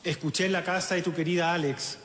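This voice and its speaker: A middle-aged man with a Chilean accent reads a funeral eulogy at a lectern microphone outdoors, in a solemn, measured way with halting restarts, audibly emotional. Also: high voice, steady tone, a bit bright, slight background noise. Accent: Chilean Spanish. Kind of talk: funeral eulogy, read aloud. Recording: echoey, reverberant outdoor sound with faint ambience.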